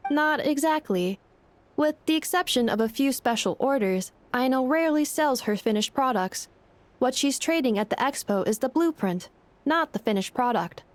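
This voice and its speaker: A woman voicing a polite, composed young robot assistant in a video game, explaining things in a formal, measured, matter-of-fact way. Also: sits high, expressive, a little hiss or hum. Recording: faint music behind the voice.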